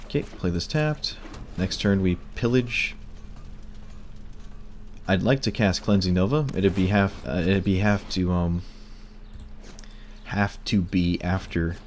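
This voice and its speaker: A man streaming a card game, talking through his plays in casual commentary, hoarse and losing his voice, wry and muttering at bad luck. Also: low-pitched, a little hiss or hum. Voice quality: breaking, cracking voice.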